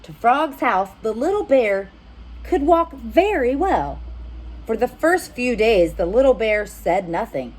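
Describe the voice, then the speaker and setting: Female storytime reader, very animated intonation, slightly husky, addressing small children. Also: fairly high pitch, a little slow, poor audio. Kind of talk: animated picture-book narration with asides to children.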